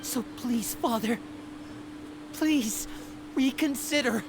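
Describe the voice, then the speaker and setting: A woman's voice: on the high side, takes it slowly, background noise. A woman playing an earnest, loyal teenage boy in a video game, heartfelt and respectful. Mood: pleading. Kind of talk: English video game voice acting.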